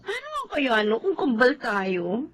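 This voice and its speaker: A woman's voice: high-pitched, huge pitch swings, measured pace, exaggerated voice. An actress playing a devoted, stubborn young woman in a Filipino melodrama, with a Filipino accent. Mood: playful, wistful. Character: devoted young woman, loyal best friend, emotional and stubborn.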